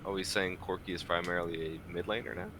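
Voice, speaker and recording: A man's voice: deep voice, flat delivery, fast. A man bantering casually as a podcast panelist, teasing the others. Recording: clear background noise.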